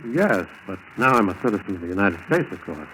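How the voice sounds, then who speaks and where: An actor playing a mild, obliging German-born spy posing as a loyal citizen, in 1940s radio style on a noisy old recording. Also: male voice, sits low, very animated, quick pace, pushed and bright, heavily acted. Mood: uneasy, innocent.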